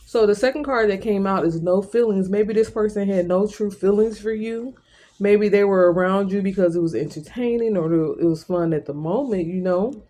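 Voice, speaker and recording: A man with a fairly high voice and a soft, dark-edged, mellow tone gives an informal tarot reading. He speaks straight to the listener, conversationally, often circling back over the same point. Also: normal speed. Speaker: tarot reader.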